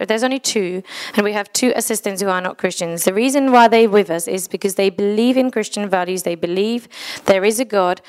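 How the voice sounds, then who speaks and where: A woman's voice: medium pitch, smooth. Czech woman talking to a church crowd, english is her second language, earnest, a bit broken, laughs at her own slip-ups. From Czech Republic. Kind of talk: speaking to a church congregation.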